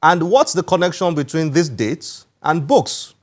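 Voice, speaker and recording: A man presenting a book programme on television, reading scripted narration in a measured, formal way. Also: on the high side, lively intonation. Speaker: TV book show host.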